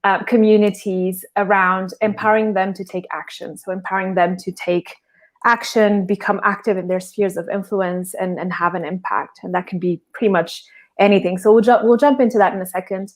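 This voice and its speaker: A woman's voice: medium pitch, fairly even intonation, brisk. A woman from Portugal, a guest in a remote interview, explaining her work in a thoughtful, casual way and often restarting her phrases.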